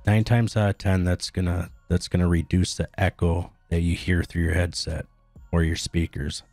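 Male tutorial narrator, very low and mellow, plain instructional delivery. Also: little pitch movement, normal speed, no room sound, soft background music. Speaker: tutorial host. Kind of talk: step-by-step software tutorial narration.

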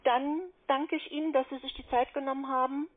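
A woman's voice: sits high, level tone, a little fast. A woman working as a call centre agent, speaking politely but hesitantly and evasively in an unscripted phone call. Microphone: telephone. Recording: narrow-band phone line.

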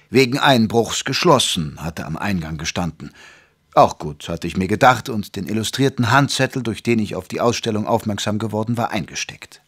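An actor playing a gentleman physician who narrates a detective radio play in a measured, literary, wry manner. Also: fairly low pitch. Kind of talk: scripted audio drama narration and dialogue.

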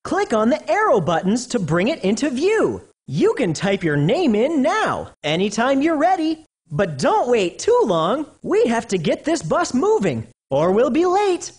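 An upbeat young male rabbit from a children's cartoon game. The voice is high and husky, swooping wildly in pitch. He takes his time and sounds bouncy and encouraging, as if guiding small kids. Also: full character voice. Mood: encouraging, urging. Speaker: children's game guide character. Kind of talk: animated educational game voice acting.

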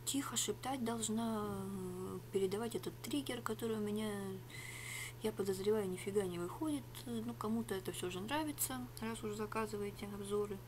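A middle-aged woman whispers and murmurs in a sarcastic, rambling product review, her voice at an ordinary pitch. She is recorded close on a webcam in a small home room. A faint hum sits under her voice. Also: brisk. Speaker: ASMR vlogger. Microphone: webcam at arm's length.

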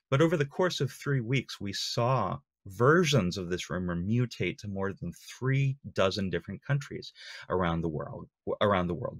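Deep-voiced middle-aged man giving a talk. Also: creaky, dry recording, studio quality. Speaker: conference speaker. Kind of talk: lightning talk to an online audience. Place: small home room with bookshelves, indoors. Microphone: webcam video call.

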